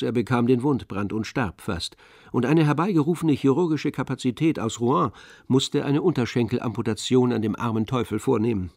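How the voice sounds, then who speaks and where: A man reading scripted literary narration for a radio play in a measured storytelling manner. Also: mid-range voice, vocal fry, no room sound, clean studio sound.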